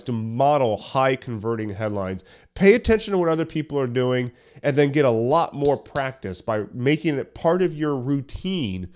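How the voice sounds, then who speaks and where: A man hosting a solo podcast tutorial, talking conversationally and a little hoarse while getting over a cough, walking listeners through tips step by step. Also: medium pitch, darkish tone. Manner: conversational, explains step by step with questions. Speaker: podcast host. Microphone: studio podcast mic.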